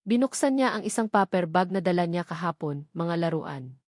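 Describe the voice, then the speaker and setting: A woman's voice: fairly low pitch, expressive, moderate pace, a little mellow, dry recording, studio quality. A woman with a Filipino accent reading a scripted romantic drama story as narrator.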